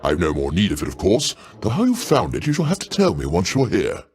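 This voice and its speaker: A man's voice: low voice, huge pitch swings, a little fast, breathy edge, full character voice. A man voicing the king of the gods as a genial but pompous, imperious uncle in a video game, speaking in formal, grandiose phrases. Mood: gracious, pleased. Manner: grandiose, avuncular, formal diction with dramatic swells. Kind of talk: theatrical game character voice lines.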